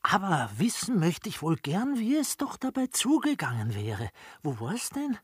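An actor in a comic radio play playing a sly, bungling robber who fawns and talks in clumsy, wordy politeness. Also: male voice, high voice, big pitch swings, a little slow, husky, dry recording, full character voice. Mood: fawning. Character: sly, fawning, bungling male robber posing as estate steward. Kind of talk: German radio play, comic period acting.

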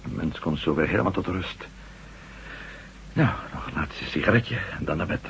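A man's voice: deep voice, lively intonation, moderate pace, fairly bright tone. A man acting a weary, troubled former astronaut in a radio drama, speaking hesitantly and broodingly. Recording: muffled, noisy old radio recording with hum.

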